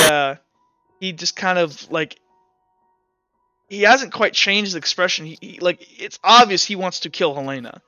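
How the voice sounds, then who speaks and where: A man's voice: a little high, sharp tone, clean tone, dry recording. A young man narrating as game master in a rambling casual way, full of filler words. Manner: rambling, lots of "like" and "kinda". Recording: dry muffled mic with faint music.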